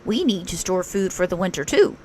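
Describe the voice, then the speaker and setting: A woman's voice: lowish voice, sing-song, brisk, a little mellow, a little hiss or hum. A woman voicing a practical, slightly bossy but friendly male rabbit in a children's story, with emphatic, instructive delivery. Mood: businesslike.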